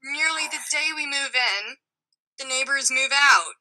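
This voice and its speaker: High, bright young woman telling a story. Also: measured pace, rough recording. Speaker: podcast guest. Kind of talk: casual personal storytelling.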